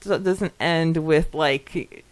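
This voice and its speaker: A woman's voice: sits low, extremely slow. A woman co-hosting a podcast in a giggly, chatty way, moving between casual talk and reading comments aloud. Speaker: podcast co-host.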